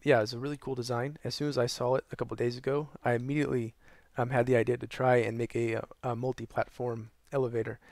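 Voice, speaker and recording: A young man with a hoarse, worn-out voice talks through a gaming build in a loose, rambling way, full of ums and uhs. His tone has a gravelly fry to it, recorded close and dry with no room sound. He sounds short on sleep and low on energy. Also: no room sound. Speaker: gaming channel host. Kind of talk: casual tutorial narration over gameplay.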